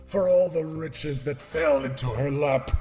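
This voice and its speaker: A man voicing a possessed old woman whose voice sounds unnaturally deep, echoing and whispery. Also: measured pace, a little mellow, faint music behind, natural voice. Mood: menacing. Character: possessed old woman, menacing, hissing, otherworldly.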